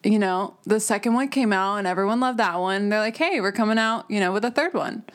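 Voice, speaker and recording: A young woman co-hosting a casual podcast chat. Her voice sits at a middle pitch, and she talks loosely, circling back with lots of fillers. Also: fairly quick. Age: young adult. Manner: rambling, filler-heavy. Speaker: podcast co-host.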